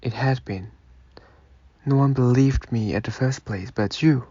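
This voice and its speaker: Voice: medium pitch, a little slow, dark tone, breathy edge, dry recording, dull audio. An actor in a radio drama playing an earnest, stubborn male college freshman who appears elderly, speaking plainly. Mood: grateful, subdued. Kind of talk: amateur radio drama acting.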